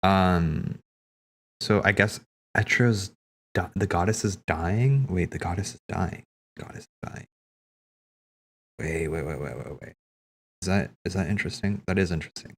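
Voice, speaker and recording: Deep-voiced man rambling casually about games. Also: moderate pace, vocal fry, long pauses. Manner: rambling, musing, thinking aloud. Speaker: streamer hosting a cutscene watch party. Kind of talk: casual off-the-cuff game commentary.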